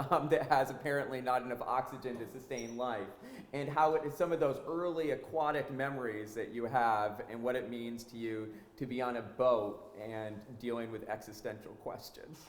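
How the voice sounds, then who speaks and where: A man interviewing an artist onstage before a live audience, speaking hesitantly with many fillers and warm admiration. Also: medium pitch. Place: gallery hall. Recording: roomy hall with faint ambience.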